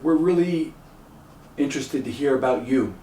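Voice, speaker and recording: A male host with a mid-range voice speaks earnestly and deliberately across the table. The mic sits some distance off, so the room echoes around him, with faint noise underneath. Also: medium pitch, mellow. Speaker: show host. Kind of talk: earnest personal speech. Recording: roomy sound from a distance.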